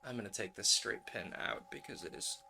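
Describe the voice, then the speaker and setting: A man's voice: lowish voice, brisk, creaky, sharp tone, faint music behind. A man streaming casually, chatting and thinking aloud as host while he works at a craft.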